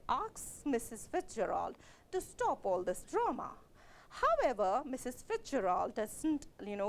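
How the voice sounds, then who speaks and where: A woman with a Kashmiri accent giving a literature lecture as a college lecturer, explaining steadily with frequent fillers and self-corrections. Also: a little high.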